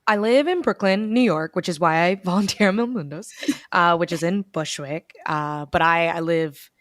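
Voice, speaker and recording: A young woman from the United States, talking casually as an interview guest over a video call, telling stories with lively quoted asides. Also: lowish voice, normal speed, dry recording, clean studio sound. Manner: chatty, animated storytelling with quoted asides. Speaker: bookstore events director, guest.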